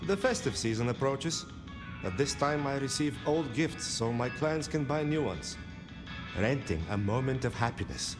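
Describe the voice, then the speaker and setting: A man's voice: mid-range voice, expressive, normal speed, close to an everyday voice. A man voicing a weary, friendly pawnbroker in a video game, speaking in theatrical, wistful phrases. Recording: music behind the voice.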